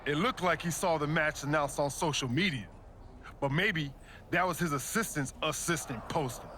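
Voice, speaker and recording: A man's voice: a little high, normal speed, sharp tone, slight background noise. A man playing a fiery pro wrestler in a video game, speaking slangy, punchy lines with emphasis. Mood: casual confidence. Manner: slangy, punchy, emphatic delivery in short bursts. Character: fiery pro wrestler rallying his allies. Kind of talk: wrestling promo and video game cutscene acting.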